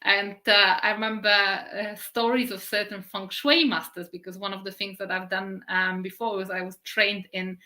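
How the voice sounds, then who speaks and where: A middle-aged woman from Poland gives a spiritual live-stream talk. Her voice is bright and forward, and she stretches out key words slowly. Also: medium pitch, level tone. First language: Polish. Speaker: spiritual teacher.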